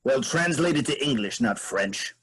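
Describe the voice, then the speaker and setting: A man voicing an irritable, scheming moose queen in a staged comedy reading, dry and deliberate. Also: mid-range voice, lively intonation, a bit bright, lightly acted. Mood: exasperated.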